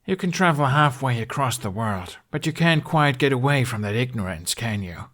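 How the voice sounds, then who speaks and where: A man voicing a defiant, sarcastic young Viking dragon rider in an audiobook, sharp and contemptuous. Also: medium pitch, expressive, moderate pace, voice pushed up. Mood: indignant.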